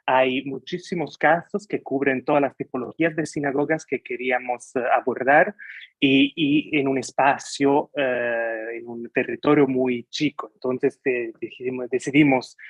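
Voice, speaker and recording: A man's voice: mid-range voice, animated, moderate pace, no room sound. A young man whose first language is Italian, giving a lecture over a video call, hesitant with many fillers and restarts. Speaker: guest lecturer.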